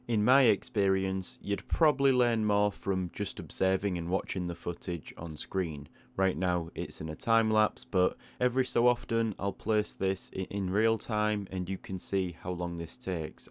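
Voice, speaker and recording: Low-voiced man explaining things casually. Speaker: art tutorial host. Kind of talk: casual explanatory voice-over about a drawing process.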